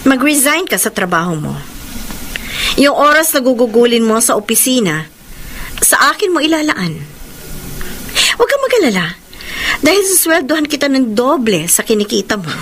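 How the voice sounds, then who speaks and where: High-voiced, demanding Filipina seductress. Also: female voice, very animated, normal speed, long pauses, fairly bright tone, slight background noise. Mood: coolly commanding. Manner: taunting, insistent, theatrical delivery. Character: demanding, seductive, controlling wealthy woman pursuing a married man. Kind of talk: Filipino radio drama acting.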